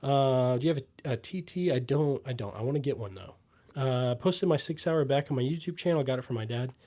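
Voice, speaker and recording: Mellow-voiced young man chatting on a livestream. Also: medium pitch. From US Midwest. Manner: relaxed, trailing fillers, pauses to read comments. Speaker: livestream host reading chat. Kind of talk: casual livestream chat.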